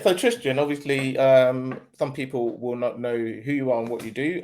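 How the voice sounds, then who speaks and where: A man hosting and interviewing on an online webinar call, talking in a relaxed, conversational way with ums and restarts. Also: medium pitch.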